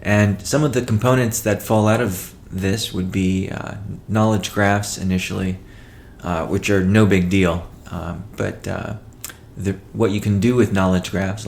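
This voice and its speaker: A man presenting a company update as a chief executive, in a measured, matter-of-fact way with halting phrasing. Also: low-pitched, steady tone, gravelly, a little mellow, slight background noise. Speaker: company CEO hosting a weekly call. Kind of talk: business update presentation, partly read from notes.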